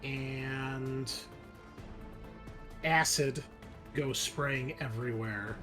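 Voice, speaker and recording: A man's voice: mid-range voice, very slow, over music. A man game mastering a tabletop roleplaying game, explaining rules and narrating the action in casual talk.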